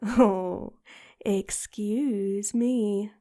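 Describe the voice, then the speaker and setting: A woman playing a composed, persistent noblewoman in an audio drama, wry and probing in a measured way. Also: mid-range voice, fairly even intonation, warm tone. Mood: teasing.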